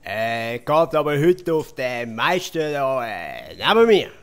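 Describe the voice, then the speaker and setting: An actor playing a boastful, self-pitying village drunkard in a Swiss German comic audio play, hesitating and repeating himself. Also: male voice, medium pitch, big pitch swings, slow, a bit bright. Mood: pleading, chummy. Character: boastful male village drunkard, washed-up local legend. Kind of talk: comic audio drama acting.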